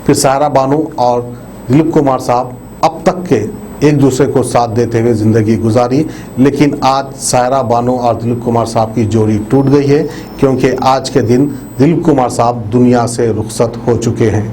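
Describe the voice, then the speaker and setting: A man's voice: mid-range voice, steady tone, moderate pace, mellow, slight background noise. A man presenting a narrated news tribute to viewers, explaining things conversationally and repeating himself with habitual filler phrases. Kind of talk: narrated obituary tribute report addressed to viewers.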